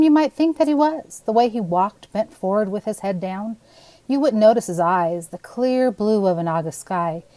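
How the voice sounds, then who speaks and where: An older woman reads a children's story aloud. Her narration is expressive, at a medium pitch. The recording is dry, with little room sound. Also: dry recording, poor audio. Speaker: storybook reader.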